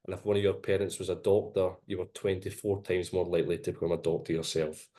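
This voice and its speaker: A man from Glasgow with a strong Glaswegian accent walks through his research findings. His voice sits fairly low and he explains each point in a measured, steady way. It sounds like a video call. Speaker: presenting researcher. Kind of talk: academic seminar presentation.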